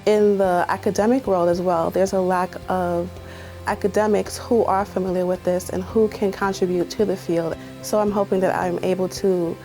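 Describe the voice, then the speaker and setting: A woman's voice: lowish voice, dark tone. A young woman researcher explaining her work in careful, formal phrasing.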